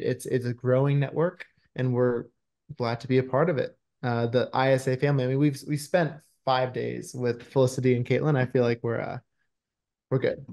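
A man's voice: mid-range voice, darkish tone. A man in his thirties speaking casually as an interview guest on a video call, often searching for his words. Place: small room at home, indoors.

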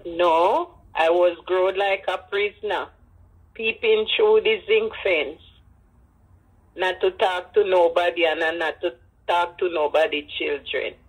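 An elderly woman with a Jamaican accent and husky voice, telling personal stories with emphatic repetition and Creole phrasing. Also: lowish voice, fairly even intonation, takes it slowly, poor audio. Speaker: interviewee, grandmother. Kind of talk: personal storytelling in an interview.

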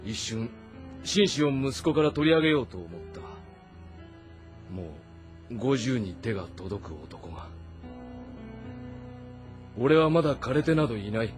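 A man's voice: medium pitch, lively intonation, close to an everyday voice. Commanding, brooding middle-aged warlord played by a man. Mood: proud, regretful. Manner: authoritative, deliberate, commanding. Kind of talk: dramatic audio-drama acting in Japanese historical game. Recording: voice over background music.

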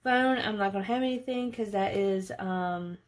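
A woman's voice: medium pitch, moderate pace. A woman in her late twenties talking casually through a budgeting vlog, chatty and thinking aloud as she goes. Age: late 20s. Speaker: budgeting vlogger. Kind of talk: casual narrated budget walkthrough.

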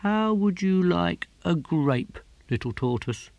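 Man doing young novice, timid, bewildered, hesitant, higher voice, storybook. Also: expressive, takes it slowly, gravelly, a little mellow, no echo, highs cut off, heavily acted. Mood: curious. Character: timid young male novice gardener, simple-minded.

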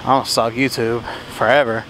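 A young man talks to his camera in a loose, rambling travel-vlog style. His voice sits at an ordinary middle pitch. The recording carries clear noise from a vehicle and the outdoors. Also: level tone, moderate pace. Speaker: vlogger. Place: parked truck cabin and outdoors on trails. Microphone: handheld or propped camera.

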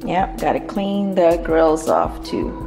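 Low-voiced, unhurried woman chatting at home over music. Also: sing-song, vocal fry. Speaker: family vlogger, host. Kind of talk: casual home vlog chat. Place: home dining room and kitchen.

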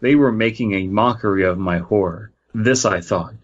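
A man's voice: lowish voice, moderate pace. Man reading aloud, slightly dull sound. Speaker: podcast host. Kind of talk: reading a story aloud with joking commentary.

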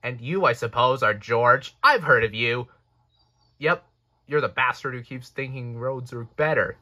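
A man's voice: medium pitch, a little slow, pushed and bright. A man voicing a small, vain, foul-mouthed steam engine in a storybook parody, boastful and combative. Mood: cocky.

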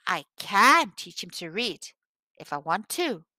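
Lady doing a sly calm wise man in a folktale, sure of himself, soft spoken but bright. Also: medium pitch, a little slow, no room sound, heavily acted. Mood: calm, confident. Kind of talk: storybook character voice.

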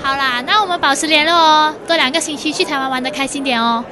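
A woman as high-voiced nosy young best friend. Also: fast, natural voice. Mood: caring. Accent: Malaysian. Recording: outdoor crowd behind voice.

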